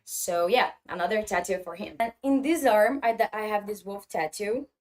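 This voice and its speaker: Woman speaking halting English. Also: mid-range voice. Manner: halting, searching for English words. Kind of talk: casual vlog talk.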